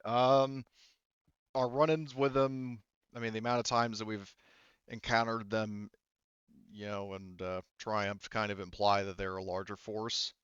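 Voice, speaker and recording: Smooth, halting man running a tabletop game. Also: lowish voice, flat delivery, a bit bright, dry recording. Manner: halting, many restarts and "you know". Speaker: game master. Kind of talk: explaining tabletop game lore, casual. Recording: slightly dull, dry close mic.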